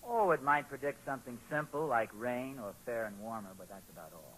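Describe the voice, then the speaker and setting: A man's voice: medium pitch, expressive, brisk, fairly bright tone, rough recording. An actor playing a well-meaning, flustered father in 1940s radio comedy-drama, hedging and backpedaling in a homespun way. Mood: casual, doubtful. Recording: 1940s radio broadcast recording.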